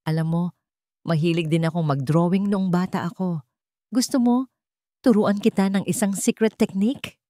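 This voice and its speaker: A woman voicing a grieving, vengeful young mother who poses as a gentle teacher, in a Filipino-accented audio drama. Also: lowish voice, normal speed, long pauses, no echo, natural voice. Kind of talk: dramatic audio story acting.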